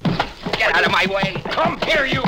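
Furious brawling tough guy, very high strained yelling. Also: lively intonation, unhurried, poor audio. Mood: furious struggle. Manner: shouting, straining, rough. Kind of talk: old-time radio crime melodrama. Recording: old radio, loud music behind.

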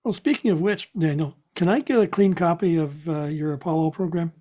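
An older man presenting a radio show in an unscripted, chatty way, with a husky, worn voice. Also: fairly high pitch, a little fast, mellow. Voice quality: husky, unreliable voice. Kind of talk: unscripted sign-off and chat. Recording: dull, muffled audio.

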